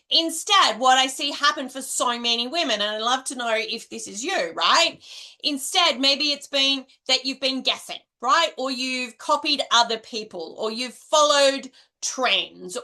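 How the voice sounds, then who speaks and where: Middle-aged Australian woman, high and bright, chatty coach who keeps checking in with "right?". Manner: chatty, ends nearly every point with "right?". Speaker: direct selling coach. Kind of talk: casual coaching monologue to camera.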